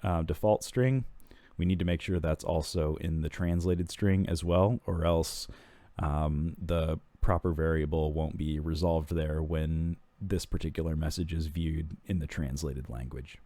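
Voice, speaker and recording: A man with a low, dark voice talks through a software tutorial over a screen recording. He explains each step at an easy pace, pausing with ums and restarting sentences. The sound is dry and close to the mic. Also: steady tone, no echo. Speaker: software product walkthrough presenter.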